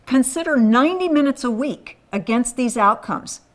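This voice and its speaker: A woman's voice: sits high, animated, normal speed. A woman raised in Thailand, delivering an earnest scripted appeal for a men's ministry. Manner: earnest, pleading.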